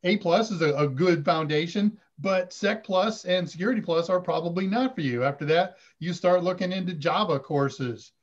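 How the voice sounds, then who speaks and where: Older male host, high voice, dull video-call sound, casual Q&A chat. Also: moderate pace, hardly any pauses. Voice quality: fairly high voice. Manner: relaxed, chatty, reads viewer questions aloud. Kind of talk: live stream Q&A chat. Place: home room with curtains. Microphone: video call webcam.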